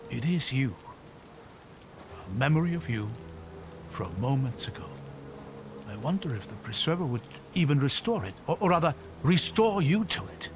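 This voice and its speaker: An actor playing a thoughtful, kindly older scholar-adventurer in a video game, articulate and wry. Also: male voice, mid-range voice, big pitch swings, normal speed, pauses often, background noise, natural voice. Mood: intent, curious. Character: learned, kindly older male scholar-adventurer, wistful about history. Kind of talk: video game cutscene acting. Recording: loud game score behind. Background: music.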